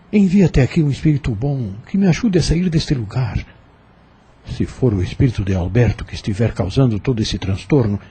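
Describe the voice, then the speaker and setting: A man's voice: mid-range voice, mellow, close to an everyday voice. A man playing a frightened, pious young man in an audio drama, earnest and hesitant. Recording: clear background noise, ambience.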